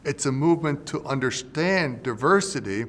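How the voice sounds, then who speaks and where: A man, a linguist, calmly explaining dialects in an interview. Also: mid-range voice, normal speed. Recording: long reverb with faint music.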